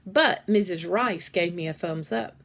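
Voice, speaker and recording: Female storyteller for a children's novel read-aloud, expressive, acts out the dialogue. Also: lowish voice, unhurried, gravelly.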